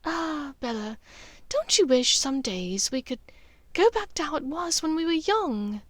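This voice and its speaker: A woman voicing a well-bred lady, genteel, smooth and slightly waspish, guarded and polite, in a storybook reading. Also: high voice, sing-song, measured pace, no room sound, pitched above the performer's own voice. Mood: coaxing.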